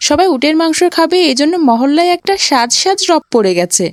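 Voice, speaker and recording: A woman's voice: high voice, sing-song, fairly quick, dry recording, clean studio sound. A woman voicing an eager, polite, inquisitive young boy in a moral cartoon. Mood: excited. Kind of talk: cartoon voice acting in moral story.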